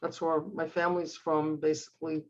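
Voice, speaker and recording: A woman's voice: deep voice, level tone, fairly quick, dull audio. An older woman from Cleveland, Ohio, whose first language is Hungarian, chatting casually and telling rambling family stories with many restarts. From United States (Cleveland, Ohio). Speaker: drawing class participant. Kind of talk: casual chat.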